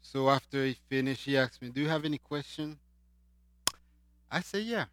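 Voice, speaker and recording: A man from Haiti with a Haitian accent, preaching a sermon and swinging between loud emphatic lines and quiet asides. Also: medium pitch, moderate pace, noisy background.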